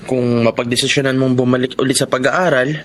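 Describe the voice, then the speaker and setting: A man playing a gentle, earnest, encouraging schoolteacher in a Filipino radio drama. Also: mid-range voice, little pitch movement, a little fast, slight background noise, lightly acted. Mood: regretful, kind.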